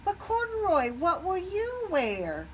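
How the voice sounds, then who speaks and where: A woman voicing a gentle, earnest young puppy from a storybook in a slow, drawn-out, childlike way. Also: extremely high pitch, huge pitch swings, slight background noise, voice pushed up, full character voice. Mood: surprised, concerned.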